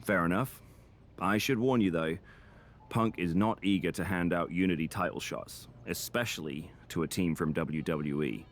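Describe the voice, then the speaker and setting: A measured male manager speaks in a low, deep voice. He is calm, cautious and businesslike, as in a wrestling game cutscene. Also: a little hiss or hum. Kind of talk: video game cutscene acting.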